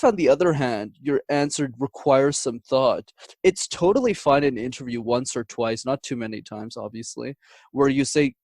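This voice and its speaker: A man's voice: medium pitch, darkish tone, no echo. A man giving conversational advice as an interview guest, articulate and instructive, laying out points with examples. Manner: articulate, instructive, structured explanations. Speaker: communication coach, interview guest.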